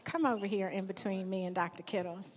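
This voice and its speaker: A woman's voice: mid-range voice, fairly even intonation, fairly quick. A woman hosting a live event in a theater, chatting informally and playfully with the audience. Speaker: event host. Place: theater stage. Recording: live hall with long reverb.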